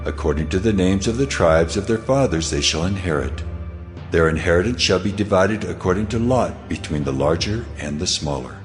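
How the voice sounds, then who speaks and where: God, very deep and commanding. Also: male voice, lively intonation, moderate pace, soft background music. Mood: solemn, commanding. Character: God, solemn commanding divine lawgiver. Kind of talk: dramatized scripture reading.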